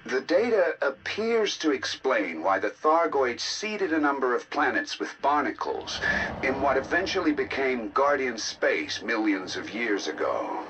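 An actor playing a curious male researcher of ancient alien ruins in a video game, explaining findings in a measured, articulate, academic way. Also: medium pitch, sing-song, a bit bright, a little hiss or hum, poor audio. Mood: intrigued, explanatory. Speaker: mission contact explaining decoded logs. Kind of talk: scripted game narration, expository.

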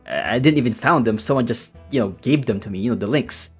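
A man doing casual, deadpan narration as the host of a meme tier-list video. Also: medium pitch, fast, husky, faint music behind.

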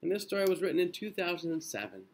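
A man in his thirties reading a children's picture book aloud in an expressive, sing-song way with drawn-out phrases. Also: high voice, a little hiss or hum. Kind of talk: children's storybook read-aloud. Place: small indoor room.

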